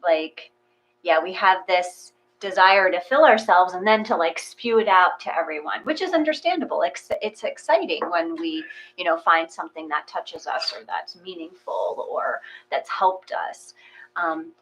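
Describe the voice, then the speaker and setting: A woman hosting a meditation gathering, speaking in a reflective, halting way as she guides and chats. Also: fairly low pitch, lively intonation, a bit bright, rough recording. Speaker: host and reader of guided meditation.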